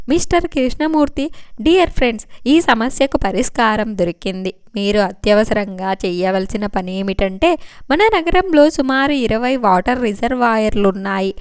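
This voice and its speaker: A woman voicing a brisk, commanding male official who gives clipped orders in an audiobook. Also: mid-range voice, clear, resonant tone, dry recording, studio quality, natural voice. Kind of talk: audiobook character dialogue.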